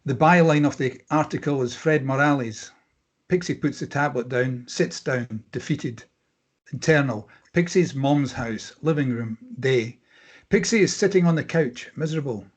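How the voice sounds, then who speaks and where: A man's voice: mid-range voice, normal speed. A man reading stage directions as scripted narration at a screenplay table read.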